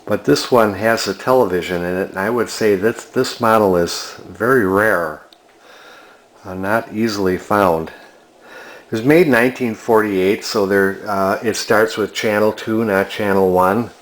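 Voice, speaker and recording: A man giving a casual, unscripted walk-through as a collector, talking in a halting, musing way. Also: fairly low pitch. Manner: hesitant, musing, halting delivery. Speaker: vintage electronics collector presenting a find.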